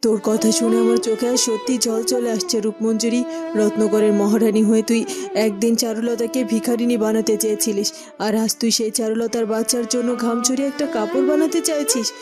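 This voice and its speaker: A woman's voice: a little high, level tone, moderate pace, lightly acted. A woman narrating and acting in a dramatic cartoon fairytale style. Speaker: narrator and character voice. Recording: music under the voice.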